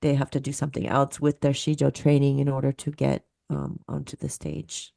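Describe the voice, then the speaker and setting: A woman's voice: extremely low pitch, monotone, fairly quick, vocal fry, dark tone, no echo, studio quality. A woman speaking as a guest musicologist on a video call, explaining thoughtfully and searching for words as she goes.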